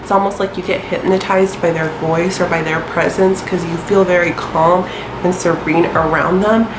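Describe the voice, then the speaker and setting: A woman's voice: lowish voice, steady tone, moderate pace, roomy sound, background noise. Stuffy-nosed young woman, chatty and rambling. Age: 20s. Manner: chatty, rambling, many ums and likes. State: stuffy nose from a sinus infection. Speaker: astrology vlogger. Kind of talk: casual storytelling to camera.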